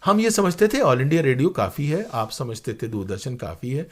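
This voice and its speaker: An older man recounting things as an interview guest, with hesitant, deliberate phrasing and emphatic points. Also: mid-range voice, lively intonation. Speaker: interview guest, professor and former radio drama producer. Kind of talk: conversational interview, reflective recounting.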